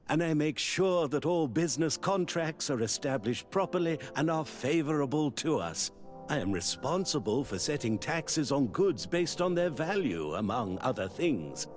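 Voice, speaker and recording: A man playing an officious, self-important government minister in a video game, deliberate and smoothly obsequious. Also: medium pitch. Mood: officious, courteous. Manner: pompous, bureaucratic, deliberate. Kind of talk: video game dialogue acting.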